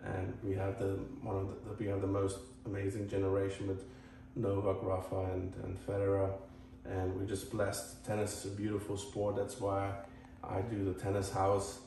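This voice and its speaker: A man from Croatia with a Croatian accent, talking casually to viewers as a tennis channel host in a halting, earnest, rambling way. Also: deep voice, monotone, dark tone. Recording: echoey, distant mic, faint noise.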